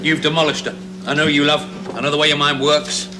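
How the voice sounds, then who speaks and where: An actor playing a forceful male research team leader who talks in a brisk, commanding way, in a 1970s television drama. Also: a little high, level tone, lightly acted. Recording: hissy old TV soundtrack.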